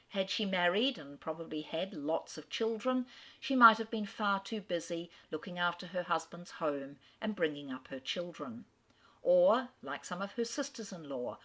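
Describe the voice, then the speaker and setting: Middle-aged woman, literary speaker, fairly low, measured storyteller with dry wit. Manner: clear, measured storyteller with wry asides. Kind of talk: recorded talk on an author.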